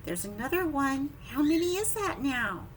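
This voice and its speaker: A woman leading preschool story time, reading a picture book with simple, expressive asides and questions to young children. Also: high voice, normal speed, noisy background.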